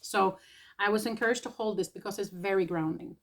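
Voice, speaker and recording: A woman from Norway, channeling spiritual messages in a casual vlog. She speaks softly and tentatively, pausing often, with an irritated throat she keeps clearing. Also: mid-range voice.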